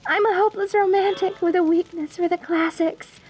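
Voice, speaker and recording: A woman playing a sweetly deranged, lovestruck mortician bride in a dark comedy audio roleplay, cooing genteel endearments at the listener with theatrical menace. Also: very high-pitched, fairly even intonation, a little fast. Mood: lovestruck, dreamy.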